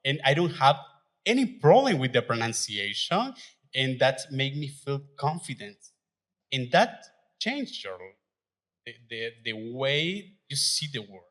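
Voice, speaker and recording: Slow, halting man telling his story. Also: mid-range voice, sing-song, a bit bright. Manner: halting non-native English with many fillers and restarts, nervous, laughing. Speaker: adult learner sharing his story. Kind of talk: live speaking at an event. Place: event venue.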